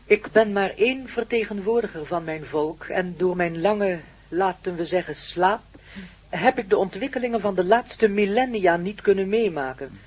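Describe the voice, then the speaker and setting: An actor playing an ancient blue alien man in a radio play, formal and measured, with a superior air. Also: high voice, breathy edge. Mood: calm, explaining. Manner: formal, measured, disdainful of primitive technology.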